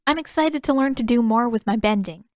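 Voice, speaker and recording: A woman's voice: fairly high pitch, fairly quick, no echo, studio quality, voice pushed up, natural voice. A woman voicing a spirited, stubborn, sarcastic teenage girl in an audiobook story. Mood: forced politeness.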